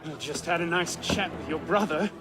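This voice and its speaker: An actor playing a flippant, quick-witted young rogue in a video game cutscene. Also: male voice, fairly high pitch, reverberant, crowd noise, background noise, natural voice. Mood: cocky, taunting. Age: young adult. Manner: flippant, teasing, nonchalant.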